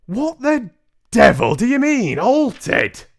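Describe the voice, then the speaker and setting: A man's voice: extremely high pitch, huge pitch swings, takes it slowly, pitched above the performer's own voice, full character voice. A man voicing a large, coarse, easily irritated father in a brusque, pompous manner for a storybook. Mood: blustering, exasperated.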